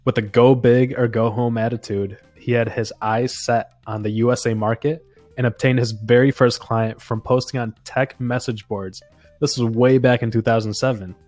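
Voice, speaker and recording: A man's voice: mid-range voice, steady tone, normal speed, a little mellow, dry recording, faint music behind. A man hosting an interview podcast, introducing his guest and asking questions. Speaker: podcast host.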